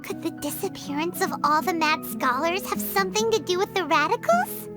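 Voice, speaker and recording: A woman voicing a small, childlike fairy companion in a video game, excitable and chirpy. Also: very high voice, normal speed. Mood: puzzled. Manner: refers to self in third person, excitable. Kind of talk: video game dub acting. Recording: music behind the voice.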